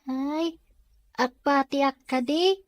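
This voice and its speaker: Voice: high-pitched, takes it slowly, dry recording. A performer with an Ilocano accent playing a small, inquisitive, clingy child in a Filipino radio drama. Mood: questioning.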